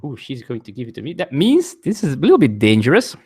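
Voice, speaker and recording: A man's voice: mid-range voice, huge pitch swings, fast talker, darkish tone, husky. A man streaming a board game live from home, explaining the rules step by step and chatting with viewers, often correcting himself, with a non-native English accent.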